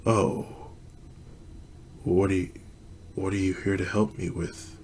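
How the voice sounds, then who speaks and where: A man voicing a frail, dying middle-aged woman who speaks in a weak, slow, whispery voice. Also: low voice, flat delivery, a little mellow, a little hiss or hum. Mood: weak, resigned.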